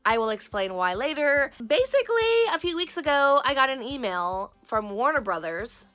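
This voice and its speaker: A woman vlogger telling a story in a chatty way, gushing and excitable, her voice hoarse from losing it. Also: sits high, moderate pace, soft background music.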